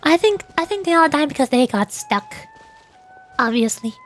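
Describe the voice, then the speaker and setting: A woman's voice: sits high, expressive, moderate pace. A woman doing casual livestream game commentary, playfully muttering to herself, close on the mic. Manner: playful, mumbles aloud to herself. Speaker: VTuber game streamer. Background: game music.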